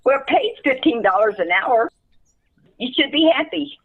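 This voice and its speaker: Lady as worn out city caseworker, highish voice, brusque, dismissive, radio drama. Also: animated, unhurried, phone-quality sound, exaggerated voice. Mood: weary, dismissive. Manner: impersonal, dismissive. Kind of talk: amateur radio play acting.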